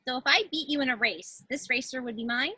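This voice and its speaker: A woman's voice: high voice, fast, pushed and bright, slightly rough, exaggerated voice. A woman playing a headstrong, determined young miner and would-be racer in a table read over a video call. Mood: defiant. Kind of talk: table read of fan fiction.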